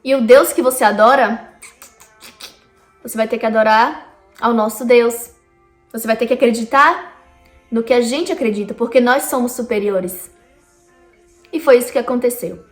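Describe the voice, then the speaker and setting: Brazilian woman vlogger, higher voice, chatty and direct with viewers; echoey room, faint music under. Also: moderate pace, pauses often. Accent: Brazilian Portuguese. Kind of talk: casual explainer vlog. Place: lives in Canada.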